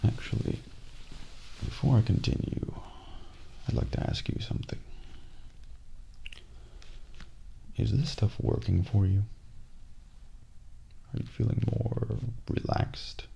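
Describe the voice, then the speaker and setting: A man playing a cold, calculating assassin in an ASMR role play, speaking softly and deliberately with polite menace into a close microphone. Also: lowish voice, muffled recording. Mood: coolly polite. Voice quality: breathy, gravelly, near-whisper. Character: cold, calculating male assassin agent gloating over his victim. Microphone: close binaural microphone. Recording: close binaural mic, faint noise.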